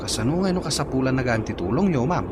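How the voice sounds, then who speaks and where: A cheeky, respectful male driver played by an actor in an audio melodrama. His mid-pitched voice moves up and down in a lively, teasing way. Vehicle noise is clearly audible behind him. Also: quick pace, natural voice. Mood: earnest. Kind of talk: melodramatic audio drama acting.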